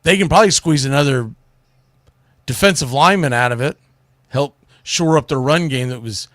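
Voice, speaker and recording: A man's voice: mid-range voice, normal speed, fairly bright tone, dry recording. A man hosting sports talk radio, giving blunt, exasperated, folksy opinions into a close microphone. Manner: exasperated ranting, blunt, mildly salty, folksy.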